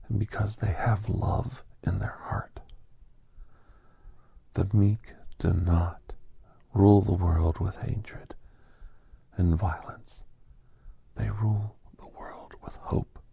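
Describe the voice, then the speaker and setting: A man playing a gentle, solemn doctor who tells a holiday story in a hushed, breathy, gravelly ASMR whisper, deliberate and reverent, with long pauses. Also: low-pitched, no room sound, exaggerated voice. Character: gentle, solemn male doctor recounting a holiday tale to aliens. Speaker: narrator and storyteller.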